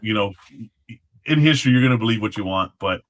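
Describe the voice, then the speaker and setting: A man speaking as an interview guest, explaining history casually in halting phrases with trailing asides. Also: lowish voice, animated. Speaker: guest, former Alamo guard.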